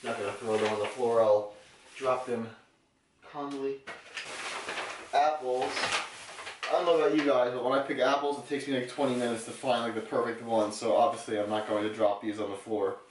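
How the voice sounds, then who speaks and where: A young man talks to the camera in a relaxed, easygoing way, explaining his routine with plenty of "um" and "like". His voice sits at an ordinary middle pitch. A faint hiss of background noise runs under the recording. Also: moderate pace. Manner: relaxed, explanatory, filler-heavy. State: getting sick. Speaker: fitness vlogger. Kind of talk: casual vlog talk to camera.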